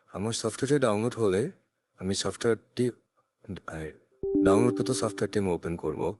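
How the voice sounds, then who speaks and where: A man narrating a step-by-step software tutorial in a careful, instructional way, recorded on a close USB condenser mic. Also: sits low. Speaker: tech tutorial presenter. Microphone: close USB condenser microphone.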